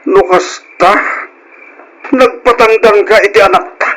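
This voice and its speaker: An actor playing an exasperated, bewildered husband in a radio drama, questioning, with a Filipino accent. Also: male voice, high-pitched, unhurried, fairly bright tone, a little hiss or hum, low-quality recording. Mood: disbelieving. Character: exasperated husband, bewildered by his wife's choices. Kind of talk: Ilocano radio drama acting.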